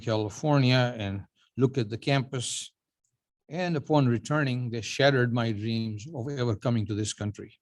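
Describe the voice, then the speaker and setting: An older man in his seventies, originally from Uganda, delivers a prepared acceptance speech. He tells his life story at a measured pace, with dry, self-mocking humor. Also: medium pitch, a little mellow. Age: 70s. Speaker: award honoree giving a speech.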